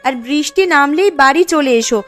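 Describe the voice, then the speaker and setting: A woman voicing a fisherman's wife in a cartoon, fussing and gently scolding him. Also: sits high, fairly quick, faint music behind. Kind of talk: cartoon dialogue.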